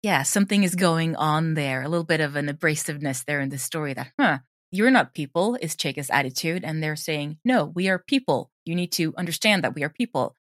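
A woman with as a talks casually as an interview guest. Her voice sits a little low and is captured close and dry, and she explains things in an informal, reflective way. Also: expressive, a little fast, no echo. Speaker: audio drama creator, interviewee.

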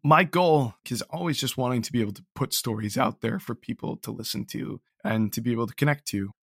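A man with a mid-pitched voice talks as an interview guest in a close, dry recording. He is reflective and casual, earnest and a little self-deprecating, often restarting his phrases. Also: brisk, gravelly, husky, dry recording. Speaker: interview guest, audio drama writer.

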